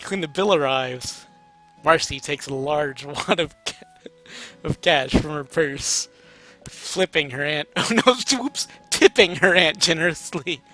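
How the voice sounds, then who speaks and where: A man's voice: a little high, a little slow, pushed and bright, faint music behind. A man narrating a story aloud in a casual, amateur, playful way. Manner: informal, playful.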